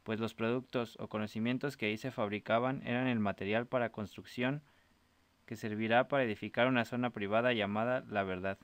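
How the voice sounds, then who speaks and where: A man's voice: on the low side, fairly even intonation, fairly quick, no echo. A man narrating a scripted short story as a storyteller.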